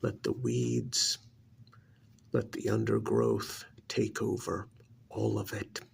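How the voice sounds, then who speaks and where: A man playing a bitter, contemptuous alien creature posing as an uncle in an ASMR roleplay, with stern, emphatic, gravelly delivery that turns breathy at times. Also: lowish voice, big pitch swings, slow, slight background noise, full character voice. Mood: stern, commanding.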